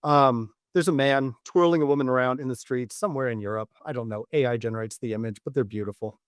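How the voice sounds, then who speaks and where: Male podcast coach, mid-pitched, deliberate and measured instructional delivery, close dry sound. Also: smooth, dry recording. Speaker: dating coach and podcast host. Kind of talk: instructional podcast monologue to camera.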